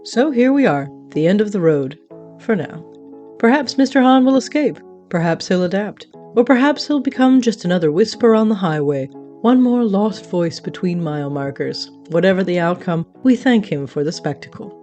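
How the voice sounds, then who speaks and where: A woman's voice: medium pitch, expressive, moderate pace, dark tone, faint music behind, natural voice. A woman playing a wry, grandiose otherworldly radio host, narrating an audio drama in an arch, florid, dramatic way. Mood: theatrical, solemn.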